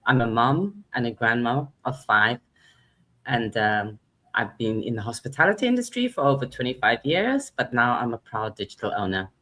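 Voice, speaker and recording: A woman's voice: very deep voice, expressive, moderate pace, fairly bright tone, low-quality recording. An older woman from Zambia, a grandmother, briefly introducing herself on a talk show.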